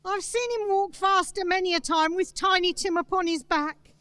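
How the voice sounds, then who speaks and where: A woman playing a sorrowful, tender mother of a poor family in a radio play, wistful and gentle. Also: very high voice, fairly even intonation, moderate pace. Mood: grieving, tender. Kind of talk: theatrical radio play acting.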